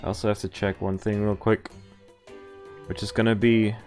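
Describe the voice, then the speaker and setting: A man's voice: fairly low pitch, little pitch movement, moderate pace, a little mellow, soft background music. A man streaming a game, reading dialogue aloud and reacting in a casual, wry, sweary way. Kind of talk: casual let's-play commentary and read-aloud of dialogue.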